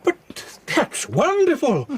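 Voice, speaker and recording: Flustered English reporter for radio drama: extremely high, wildly swooping voice, fussy, hesitant, effusively polite. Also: male voice, huge pitch swings, takes it slowly, full character voice. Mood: excited, grateful. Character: flustered, excitable, polite male newspaper journalist. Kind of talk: British radio drama acting.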